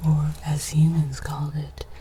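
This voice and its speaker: A woman whispers very slowly in a low, even murmur, playing a condescending alien researcher. Her ASMR narration is theatrical and mock-scholarly, delivered over clearly audible background noise. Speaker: host of an ASMR series.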